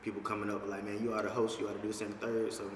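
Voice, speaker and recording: Male interview guest, Georgia accent, rapid casual delivery with emphatic slang, reverberant room. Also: on the low side, monotone. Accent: Southern US, Georgia. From Dublin, Georgia, USA. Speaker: interview guest, club promoter and host. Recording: echoey reverberant room, distant mic, faint noise.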